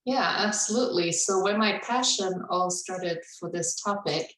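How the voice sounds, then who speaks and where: A woman's voice: on the low side, normal speed, a bit bright. A woman who grew up in Indonesia speaks as a cultural humility trainer in a webinar, with a reflective manner and easy laughs. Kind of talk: webinar conversation. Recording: roomy, distant mic.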